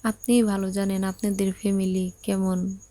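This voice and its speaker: A woman's voice: medium pitch, little pitch movement, moderate pace, mellow, no room sound, lightly acted. A woman playing an upset, impatient young bride in an audio story, speaking plaintively and insistently in colloquial speech with a Bangladeshi accent. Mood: softly confiding.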